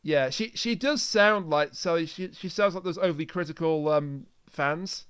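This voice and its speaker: A man's voice: a little high, moderate pace, fairly bright tone, clean tone. A man hosting a podcast, casually recapping a story in a jokey way and acting out bits of dialogue. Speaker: podcast host.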